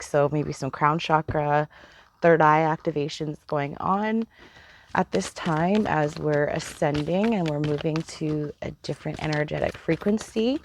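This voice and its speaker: A woman giving a casual spiritual card reading, chatty and meandering, with a slightly hoarse voice. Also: sits low, slight background noise. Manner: chatty, meandering, laughs often, swears casually. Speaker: tarot reader.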